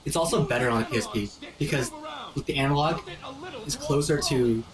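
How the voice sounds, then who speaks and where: A man's voice: mid-range voice, a little fast, a crowd in the background, rough recording. A man in casual gaming commentary, explaining things to friends in an enthusiastic, informal, slangy way. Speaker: host explaining the game to friends.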